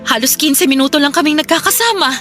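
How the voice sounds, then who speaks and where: A remorseful mother in a radio drama, high and very bright in voice. She coaxes and implores with open emotion, longing for her child, over soft music. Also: fast talker. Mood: desperate, defensive. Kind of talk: Tagalog radio drama acting.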